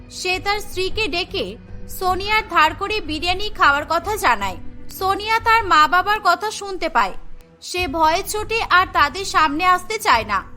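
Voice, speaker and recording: A woman's voice: very high voice, bright, forceful tone. A woman narrating a scripted cartoon story.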